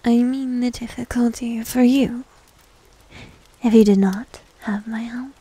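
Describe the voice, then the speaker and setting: A woman playing a needy, teasing young succubus demon in an ASMR roleplay, with a soft, breathy, often whispered voice and coy manner. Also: a little high, steady tone, slight background noise, lightly acted. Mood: smug, coaxing. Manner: coy, slow, coaxing. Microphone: close microphone.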